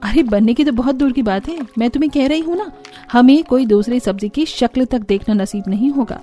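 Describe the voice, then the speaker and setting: A woman plays a weary, helpful elder sister-in-law from a cartoon story. The voice is mellow and fairly high, with emphatic explaining, over faint music. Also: fairly quick, natural voice. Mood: weary, grumbling. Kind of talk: Hindi cartoon story character acting.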